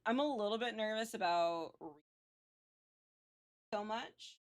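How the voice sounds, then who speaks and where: A woman's voice: medium pitch, a little slow. A woman livestreamer chatting casually while gaming, informal and sweary.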